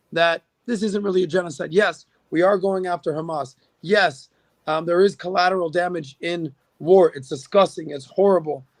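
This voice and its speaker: High-voiced gravelly man arguing with numbers. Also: dry recording. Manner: opinionated, argues with numbers, many fillers. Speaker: podcast guest. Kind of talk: casual political chat.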